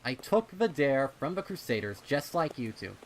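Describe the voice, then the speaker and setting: A man's voice: mid-range voice, big pitch swings, normal speed, slight background noise, pitched above the performer's own voice, poor audio. A man voicing a nervous, touchy young colt pony in a storybook reading. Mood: indignant. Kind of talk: storybook character dialogue.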